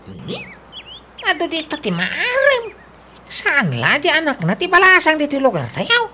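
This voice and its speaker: A woman's voice: high-pitched, huge pitch swings, unhurried, bright, forceful tone, full character voice. A woman playing a pushy, lovestruck man in a comedic radio drama, with a Filipino accent and a wheedling, exclamatory delivery. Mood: excitable. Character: pushy, lovestruck man courting a much younger girl. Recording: clearly noisy radio drama recording.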